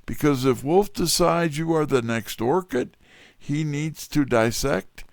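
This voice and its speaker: An actor playing a wry, tough, wisecracking detective's assistant in hardboiled first-person mystery narration and dialogue. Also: male voice, fairly high pitch, measured pace, gravelly, no room sound, clean studio sound. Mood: blunt warning.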